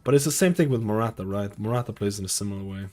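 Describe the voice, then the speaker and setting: A man's voice: fairly low pitch, rapid-fire, darkish tone, soft background music. A man in his thirties from Lithuania, a streamer chatting casually with his viewers, rambling and repeating himself with lots of fillers. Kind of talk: casual live-stream chat. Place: home streaming room.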